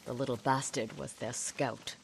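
Stern, grieving older samurai widow. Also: female voice, rumbling low, fairly even intonation, normal speed, gravelly, a little hiss or hum, rough recording. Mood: cold resolve. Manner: grave, clipped, resolute. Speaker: game character. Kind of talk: dramatic video game acting. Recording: music behind the voice.

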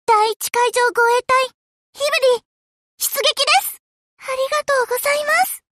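A woman's voice: very high-pitched, steady tone, moderate pace, a bit bright, dry recording. A woman voicing a polite, earnest little warship girl in a Japanese anime game, with a childlike, sweet voice and a dutiful, slightly hesitant manner. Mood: eager, polite.

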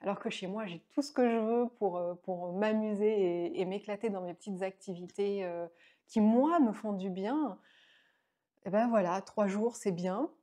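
Chatty woman in her thirties, mellow voice. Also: medium pitch, normal speed. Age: 30s. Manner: chatty, many fillers and restarts. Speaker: book vlogger. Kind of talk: casual book review to camera. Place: small indoor room at home. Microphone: lapel mic.

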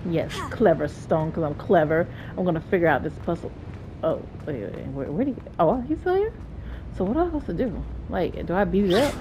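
A young woman with a stuffy head cold, chatting casually and excitedly while playing a video game. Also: fairly low pitch, a little fast, dull audio, noisy background. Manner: chatty, excitable, rambling, self-talking. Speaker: gameplay streamer. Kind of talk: casual let's-play commentary.

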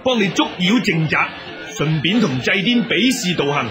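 An actor playing a boastful Buddhist monk exorcist in a radio drama, declamatory and self-assured. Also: male voice, sits high, animated, moderate pace. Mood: confident, boastful. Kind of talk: Cantonese radio drama acting. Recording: music behind the dialogue.

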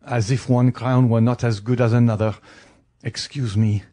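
A man's voice: medium pitch, steady tone, moderate pace, warm tone, lightly acted. A man voicing a nervous, flustered male banker in an audiobook, hesitant and trying to keep his composure. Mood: defensive, nervous. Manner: stammering, hesitant. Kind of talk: audiobook character voice.

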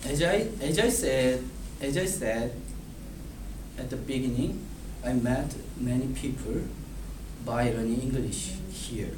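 A man in his thirties with a Korean accent, a native Korean speaker reading a prepared class speech, halting and restarting words. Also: mid-range voice. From South Korea. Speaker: student giving a speech. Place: classroom in front of a whiteboard. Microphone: far camera. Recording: roomy, distant, noisy classroom audio.

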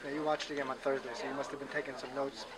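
A man's voice: mid-range voice, level tone, very fast, over a noisy crowd. A man working as a sports reporter, putting questions to a player after a game. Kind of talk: asking postgame questions.

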